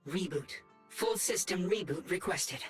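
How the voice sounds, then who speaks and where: A small malfunctioning robot from a game, reciting flat, clipped error messages. The voice sits a bit low and sounds faint and far from the mic. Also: female voice, a little slow, soft background music. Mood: glitching. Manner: flat, clipped system announcements. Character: malfunctioning little robot companion.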